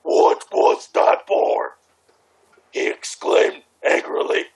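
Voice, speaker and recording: A man's voice: slow pace, a little mellow. A man reading amateur fan fiction aloud casually, stumbling over words and hamming up the quoted lines.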